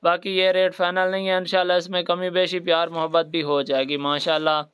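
A man's voice: sits high, level tone, fairly quick, fairly bright tone, clear, resonant tone. A man with a Pakistani accent narrating a promotional livestock sales video in repetitive sales patter.

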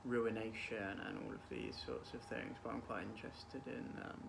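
A man's voice: fairly low pitch, moderate pace. A man in his twenties chatting casually about books in a hesitant, thoughtful way, with trailing phrases. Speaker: book vlog guest, PhD student. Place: small room at home, indoors. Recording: clearly noisy home room recording.

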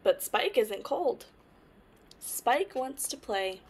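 A young woman hosting storytime, reading a children's picture book aloud with animated, deliberate phrasing. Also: on the high side, heavy background noise. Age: young adult. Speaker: storytime host.

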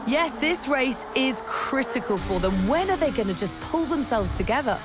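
A woman's voice: high-pitched, expressive, normal speed. An actress playing a blunt female co-commentator on a motor racing broadcast in a video game. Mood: exasperated. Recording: loud music and car engines behind.

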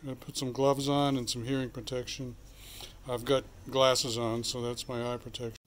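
A man's voice: mid-range voice, level tone, moderate pace, a little hiss or hum. A middle-aged man hosting a casual how-to video from his garage, rambling and thinking aloud with plenty of fillers. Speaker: channel host. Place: home garage workshop, then outdoors.

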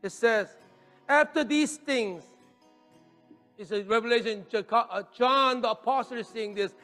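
High-voiced animated pastor in a reverberant church. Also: male voice, a little slow, soft background music. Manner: animated, gesturing widely, swings from loud declarations to near-whispers. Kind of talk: sermon. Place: church stage with drums and podium. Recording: long reverb of a church hall.

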